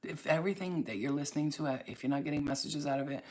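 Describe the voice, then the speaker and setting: A woman's voice: low voice, rapid-fire, a little mellow. A woman from Staten Island, hosting her own channel and talking straight to viewers in a blunt, profane, preachy way. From Staten Island, New York. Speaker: spiritual reader and channel host. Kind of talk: casual talk to camera.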